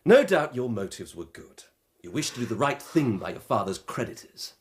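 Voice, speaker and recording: An actor playing an eager, stubbornly confident British police inspector in a radio drama, earnest and insistent. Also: male voice, mid-range voice, sing-song, normal speed, husky. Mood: stern.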